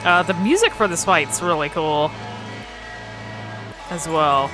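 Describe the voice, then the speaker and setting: A woman's voice: low voice, animated, slow pace, over music, low-quality recording. A woman giving casual, unscripted game commentary in a hesitant, meandering way. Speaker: video creator.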